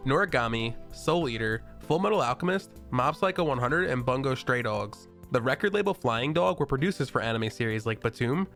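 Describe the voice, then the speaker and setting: A man hosting an interview, reading scripted background facts briskly and asking questions. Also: mid-range voice, a bit bright. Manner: brisk, reads facts and lists of titles. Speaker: interview host.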